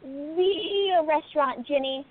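A woman plays a young princess detective in a radio drama. She is earnest, determined and insistent, with a very high-pitched voice on thin, phone-like audio. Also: takes it slowly. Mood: urgent, insistent. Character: determined young princess turned amateur sleuth. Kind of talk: radio play acting.

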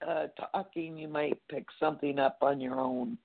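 Gravelly-voiced caller rambling on a phone line. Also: medium pitch, animated, moderate pace. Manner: rambling, many fillers and restarts. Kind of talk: phone-in conversation.

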